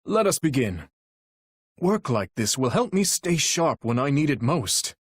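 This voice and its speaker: A man voicing an earnest, courteous young warrior king with a heavy burden for a video game, speaking in formal, measured, courtly diction with a husky voice. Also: mid-range voice, sing-song, no room sound, clean studio sound. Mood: resolute. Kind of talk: video game character voice lines.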